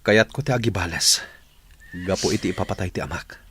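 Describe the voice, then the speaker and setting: A remorseful young rebel in a radio drama. He speaks in a low voice with earnest resolve, as someone planning a way out. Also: fairly quick, fairly bright tone, slight background noise. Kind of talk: Ilocano radio drama acting.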